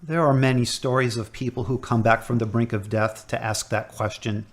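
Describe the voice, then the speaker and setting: A man playing a calm, measured hospital priest. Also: mid-range voice, lightly acted. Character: gentle middle-aged male priest. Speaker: hospital chaplain. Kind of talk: amateur audio drama.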